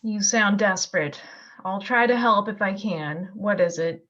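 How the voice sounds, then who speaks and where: A woman's voice: medium pitch, unhurried, a bit bright, natural voice. A woman playing an anxious, tender young wife in an amateur radio play, recorded over a video call. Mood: guarded concern. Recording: muffled video-call audio.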